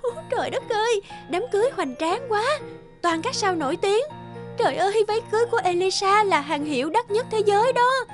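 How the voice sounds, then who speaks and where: A woman plays an excited young female fan in a cartoon. Her voice is very high and gushing, full of swooning exclamations. Music plays behind her. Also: brisk.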